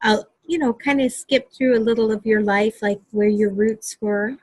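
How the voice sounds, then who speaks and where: A woman's voice: fairly high pitch, fairly even intonation, normal speed, breathy edge, dry recording. A woman hosting a live stream interview on a video call, talking hesitantly with many ums and restarts. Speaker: host.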